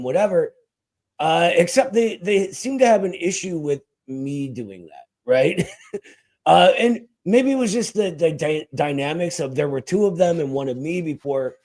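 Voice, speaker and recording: A man's voice: a little high, a little mellow. A man hosting a live stream in casual chat, informal and often checking in with the listener.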